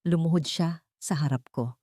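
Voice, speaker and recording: A woman with a Filipino accent reading a scripted first-person dramatic story in a deliberate storytelling manner. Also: low-pitched, mellow, no echo, clean studio sound. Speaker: narrator of an audio love-and-revenge drama.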